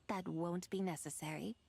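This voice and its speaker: A woman's voice: fairly low pitch, fairly even intonation, measured pace, gravelly, slight background noise. A woman voicing a serene, wise, ethereal figure in a video game, speaking formally and poetically.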